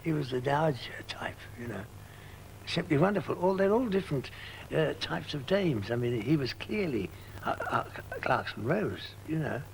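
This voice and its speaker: Gravelly guy half whispering old memories, faint hiss. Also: fairly high pitch, lively intonation, poor audio. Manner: hushed, halting recollection. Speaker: interviewee.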